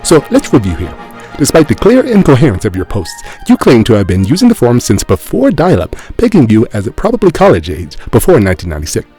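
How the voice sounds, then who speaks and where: A man's voice: medium pitch, huge pitch swings, quick pace, mellow, breathy edge. A man playing a sardonic, articulate forum member, bluntly and analytically picking apart claims in a dramatic reading. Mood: scathing, analytical. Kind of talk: dramatic reading of a written rebuttal. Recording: music under the voice.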